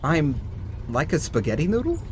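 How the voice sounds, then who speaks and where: An actor playing a jittery, eccentric young cartoon bootlegger, wordy, theatrical and prone to rambling and hesitating. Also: male voice, fairly high pitch, wildly swooping pitch, normal speed, background noise. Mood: rambling, hesitant.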